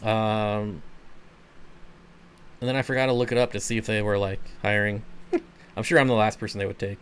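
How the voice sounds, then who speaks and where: A man's voice: on the low side, steady tone, moderate pace, clear, resonant tone. A man hosting a casual livestream, answering viewer comments in a laid-back, rambling way and chuckling often. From Michigan. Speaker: channel host.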